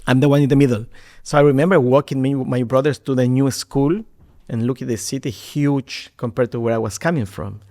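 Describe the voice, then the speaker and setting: Man from Ecuador, mid-pitched voice, animated storyteller, repeats words when starting. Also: a little fast. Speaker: podcast guest, marketing executive. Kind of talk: conversational storytelling.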